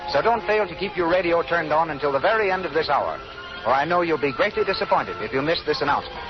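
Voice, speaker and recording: A man announcing a 1930s radio show from a script, in a formal, polished sales delivery. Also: a little high. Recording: muffled old 1930s radio broadcast.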